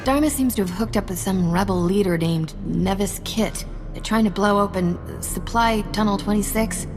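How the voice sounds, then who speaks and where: An actress playing a cocky, hard-edged space smuggler in a video game, sardonic and blunt. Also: female voice, mid-range voice, moderate pace, a little mellow, close to an everyday voice. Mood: cool determination. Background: game music.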